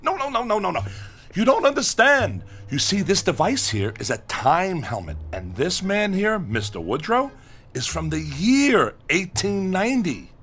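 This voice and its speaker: An actor playing a fussy, earnest scientist in a radio drama, speaking in a polite, hesitant, proper manner. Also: male voice, high voice, big pitch swings, a little slow, a bit bright, slightly rough, heavily acted. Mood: insistent.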